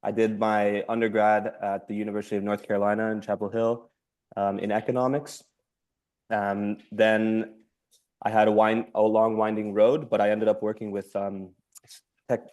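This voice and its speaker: A man's voice: lowish voice, darkish tone. A young man from Finland raised in the US, introducing himself hesitantly on a video call. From born in Finland, raised in the US. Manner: hesitant, lots of ums. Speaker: master's student. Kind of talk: self-introduction in online meeting.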